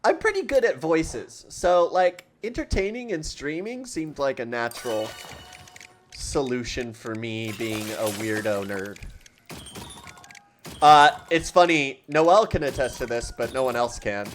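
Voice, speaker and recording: A man's voice: mid-range voice, expressive, a little slow, a little hiss or hum. A man streaming a game in casual chat, rambling and self-deprecating and often laughing, talking from a room at home. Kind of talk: casual livestream chat while playing a game. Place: home room with a collection of objects.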